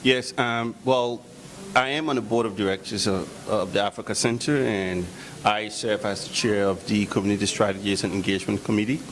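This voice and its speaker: A man's voice: fairly low pitch, fairly even intonation, normal speed, rough recording. A man who chairs a community board, answering interview questions hesitantly and repeating words.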